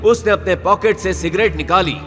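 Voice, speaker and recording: A man's voice: sits high, moderate pace, over music. A man narrating a scripted audio drama in a suspenseful, dramatic style.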